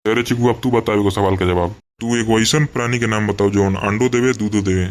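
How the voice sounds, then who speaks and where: A man's voice: on the low side, steady tone, brisk, close to an everyday voice. A man voicing an exasperated, coarse, teasing village schoolteacher with a Bhojpuri accent in a cartoon comedy. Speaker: voice actor.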